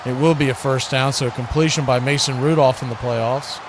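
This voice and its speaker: A man's voice: medium pitch, brisk. A man hosting a live football watch party, giving play-by-play in a folksy, conversational way. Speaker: play-by-play host. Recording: steady background noise.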